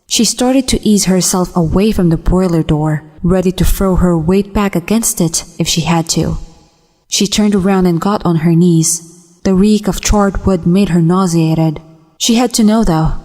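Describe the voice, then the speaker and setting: A woman giving a dramatic reading of a horror story in a measured, suspenseful manner. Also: lowish voice, dark tone. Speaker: voice actor. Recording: long reverb, music underneath. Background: music.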